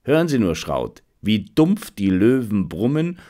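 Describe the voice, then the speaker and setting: A man reading as an observant, slow-spoken gentleman detective. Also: lowish voice, sing-song. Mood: watchful. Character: observant, brooding gentleman detective in an old crime story.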